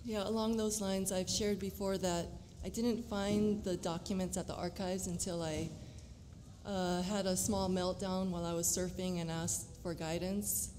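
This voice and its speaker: A woman, a teacher speaking on a panel in an earnest, deliberate way. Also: lowish voice, little pitch movement, mellow. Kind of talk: panel discussion. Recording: long hall reverb, faint noise.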